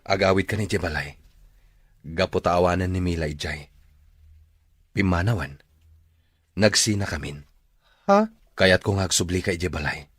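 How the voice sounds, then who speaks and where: A man's voice: low-pitched, normal speed, pauses often, a bit bright, slightly rough, no room sound, studio quality. A man playing an earnest, coaxing father in an Ilocano radio drama. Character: earnest father, Danilo, coaxing his child.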